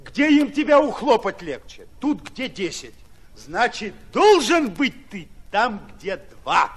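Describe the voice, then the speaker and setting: An actor playing a brash, hot-tempered Red Army commander in a radio play, lecturing in rustic, emphatic colloquial speech. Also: male voice, high voice, very animated, slow, fairly bright tone, husky, exaggerated voice. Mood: scolding, blunt.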